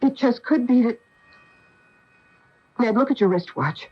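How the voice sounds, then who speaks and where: An actress playing a cautious, protective astronaut wife in a radio drama, with an earnest, dramatic delivery. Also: female voice, a little high, unhurried, breathy edge. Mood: astonished, urgent. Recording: noisy, muffled AM radio broadcast recording.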